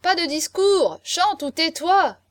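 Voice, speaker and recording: A woman voicing rowdy drunken aristocratic revellers in a storybook, shouting demands and jeers. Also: very high-pitched, expressive, slow pace, voice pushed up, full character voice. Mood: jeering.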